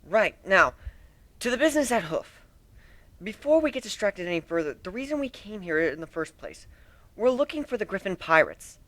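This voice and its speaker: Guy reading as a businesslike girl pony in a story, measured n tactful, bright voice, dry sound. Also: sits high, very animated, no room sound, pitched above the performer's own voice. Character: sensible, businesslike young female pony leader.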